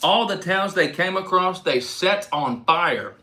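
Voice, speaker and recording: Bright-voiced man reading scripture aloud. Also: high voice, lively intonation, unhurried. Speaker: reader.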